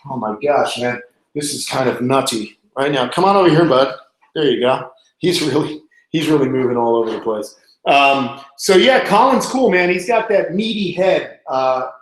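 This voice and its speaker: Very echoey room, American man, medium pitch, animal show host, informal and chatty, casual live-stream talk. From Long Island, New York, United States. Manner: informal, chatty, calls people man and bud. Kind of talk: casual live-stream chat and question answering. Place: indoor room.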